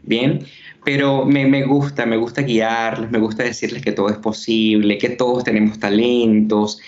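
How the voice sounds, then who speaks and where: A man's voice: medium pitch, level tone, normal speed, a little mellow, highs cut off. Man explaining like a teacher. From Venezuela. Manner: earnest, teacherly, many fillers. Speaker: journalist and university teacher. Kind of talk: explanatory interview answers.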